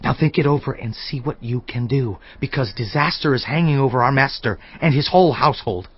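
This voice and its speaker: An anxious, loyal servant in a scripture audio drama. His husky voice is urgent and deferential. The sound is dry and a little dull. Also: mid-range voice, a little fast, no room sound. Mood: worried, urgent.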